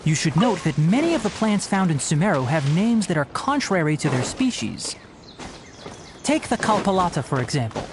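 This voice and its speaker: A man's voice: a little high, sing-song, moderate pace, background noise. A man playing a young forest ranger in a video game, precise, earnest and a little prim, explaining things like a botanist guide. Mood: lecturing. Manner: precise, instructive, slightly prim. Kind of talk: scripted video game voice acting. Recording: game music behind the voice.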